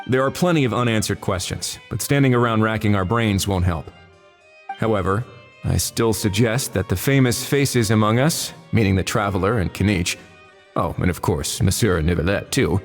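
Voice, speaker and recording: A male actor playing a calm, analytical investigator in a game, measured and composed. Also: on the low side, close to an everyday voice. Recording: reverberant, music behind the voice.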